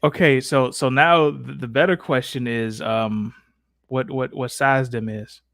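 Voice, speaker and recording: A man's voice: medium pitch, fairly even intonation, unhurried, gravelly, a bit bright, dry recording, studio quality. A man hosting a livestream Q&A in casual, slangy, playfully exasperated improvised chat. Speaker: host of a character Q&A livestream.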